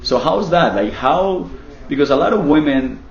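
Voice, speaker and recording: Chatty man hosting an interview, jokey and animated, kinda echoey room, a bit muffled. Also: a little high, moderate pace, a crowd in the background. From Colombia. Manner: animated, joking, repeats himself. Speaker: interviewer, real estate team leader. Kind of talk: casual interview.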